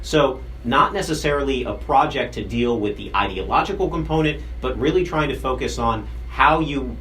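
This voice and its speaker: A man reporting as a defense correspondent, explaining policy in a measured, explanatory way. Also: medium pitch, fairly bright tone, slight background noise. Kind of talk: news analysis.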